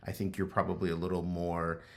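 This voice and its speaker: A middle-aged man co-hosting a podcast, speaking in a halting way with many pauses and restarts. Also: deep voice, little pitch movement, vocal fry. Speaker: podcast co-host. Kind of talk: conversational couples podcast.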